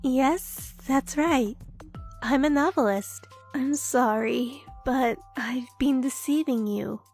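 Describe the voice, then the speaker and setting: Shy, earnest young female romance lead played by a woman. High voice, sweet emotive anime-dub delivery, soft music underneath. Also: slow. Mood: confessing. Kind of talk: anime-style dub acting. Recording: music bed behind the voice.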